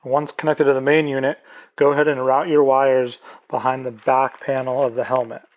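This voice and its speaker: A man's voice: mid-range voice, flat delivery, moderate pace, a little hiss or hum. A man presenting an instructional product tutorial, explaining plainly step by step.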